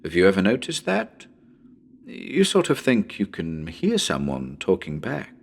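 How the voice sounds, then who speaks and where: A nervous male ghost hunter, acted by a man in a radio horror tale, talking to his listeners as his nerve frays. He speaks very slowly and haltingly, muttering and repeating himself, with highly animated swings in a fairly low voice. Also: creaky, full character voice. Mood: unravelling, fanciful. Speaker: narrator reading a horror story. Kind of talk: dramatic reading as a live radio broadcast.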